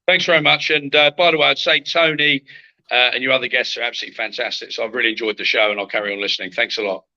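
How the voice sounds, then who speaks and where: A man who manages boxers, speaking as a guest in a measured, hesitant way with many fillers. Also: mid-range voice, fairly even intonation, pushed and bright, lo-fi sound.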